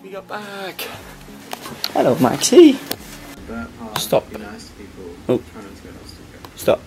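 A man's voice: sits high, drawn-out words, gravelly, soft background music. A young man in his twenties chatting casually to a handheld camera in a travel vlog, sometimes tired. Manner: relaxed, chatty, talks straight to camera. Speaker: vlogger. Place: car and indoor rooms. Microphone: handheld camera at arm's length.

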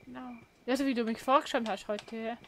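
A woman's voice: fairly high pitch, steady tone, a little fast, a bit bright, slight background noise. A woman chatting casually as co-commentator during a video game let's play. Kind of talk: casual chat over gameplay.

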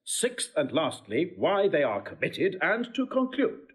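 Lively noble prince. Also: male voice, fairly high pitch, a little slow, slightly rough, heavily acted. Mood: wryly amused. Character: wry, commanding noble prince. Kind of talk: classical Shakespearean radio acting.

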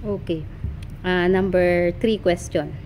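A woman interviewing someone in a casual way, asking short questions and adding quiet backchannels. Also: fairly low pitch, steady tone, slow pace, a little hiss or hum. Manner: short prompts and murmured backchannels.

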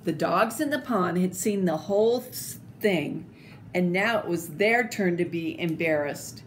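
An older woman reading a children's picture book aloud in an expressive, deliberate, theatrical way, with little asides. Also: lowish voice. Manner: slow, deliberate, theatrical phrasing. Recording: clearly noisy home video recording.

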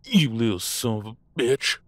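A man playing a vengeful, stubborn werewolf captor in an audio roleplay, gruff, contemptuous and foul-mouthed. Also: medium pitch, big pitch swings, normal speed, a bit bright, dry recording. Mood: angry.

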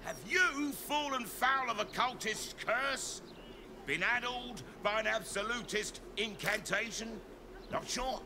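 A high-voiced tiefling merchant, pushing charms with a slick salesman's patter. He takes his time over each pitch. A steady background hum sits under the game audio. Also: slow, heavily acted. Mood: pitching wares. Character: pushy male tiefling charm vendor, salesman.

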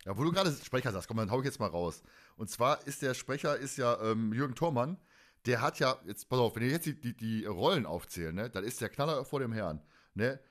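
A man's voice: mid-range voice, lively intonation, a little fast. A man co-hosting a fan podcast, chatting casually in a colloquial, joking way. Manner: colloquial, jokey. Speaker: podcast co-host.

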